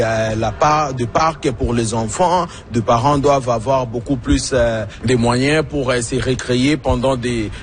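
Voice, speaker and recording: A man, answering interview questions earnestly and repeating words as he searches for them. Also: mid-range voice. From Democratic Republic of the Congo. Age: 30s. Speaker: municipal candidate.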